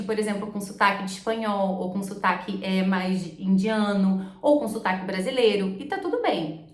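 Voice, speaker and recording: A woman with a Brazilian Portuguese accent explaining exam tips to the camera as an English teacher. She checks in with her listeners often. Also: a little high. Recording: echoey room.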